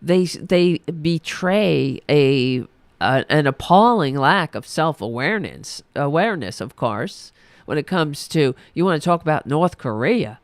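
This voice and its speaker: Hoarse woman ranting, swears a lot, sarcastic, talks slow, dry close sound. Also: sits low, animated, no room sound. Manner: heated, profane, sarcastic. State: losing her voice. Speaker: podcast host. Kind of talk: angry political rant.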